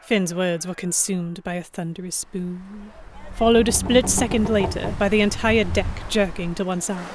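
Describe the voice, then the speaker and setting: A woman voicing a young noblewoman heroine, telling her own story in an audio drama. She sounds fretful and introspective, tentative when she speaks up, at a middling pitch. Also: steady tone, moderate pace, background noise, lightly acted. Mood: tense, dramatic. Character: anxious young noblewoman heroine narrating her captivity among pirates. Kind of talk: first-person scripted narration in audio drama.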